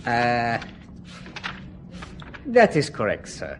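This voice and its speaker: An actor playing a formal, polite hotel receptionist with a German accent in an audio drama. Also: male voice, medium pitch, huge pitch swings, very slow, a little hiss or hum, heavily acted. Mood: formal, helpful.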